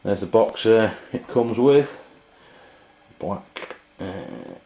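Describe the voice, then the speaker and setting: A man reviews a product off the cuff. His delivery is halting and informal, feeling its way from point to point. The sound is dull and lo-fi, like an amateur video. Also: on the low side, lively intonation, creaky, mellow. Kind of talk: casual unscripted review.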